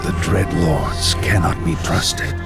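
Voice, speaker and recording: Slow, gravelly ghostly necromancer. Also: male voice, deep voice, sing-song, full character voice. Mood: secretive, ominous. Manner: slow, ominous, deliberate. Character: ghostly undead necromancer, grave, cautious male adviser. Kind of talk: video game cutscene acting. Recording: loud eerie music behind voice.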